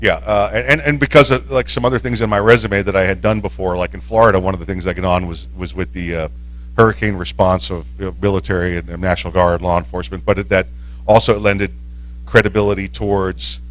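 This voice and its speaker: Rambling, slightly low-voiced radio man. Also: fairly even intonation, fast, a bit bright. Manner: rambling, with many restarts and fillers. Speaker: radio host and panelist. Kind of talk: casual panel talk on a live stream.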